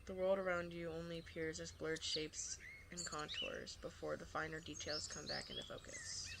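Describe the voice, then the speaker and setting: A woman's voice: low-pitched, wildly swooping pitch, normal speed, vocal fry, very noisy. A woman streaming a visual novel, switching between casual chat and reading the narration aloud, sometimes stumbling and correcting herself.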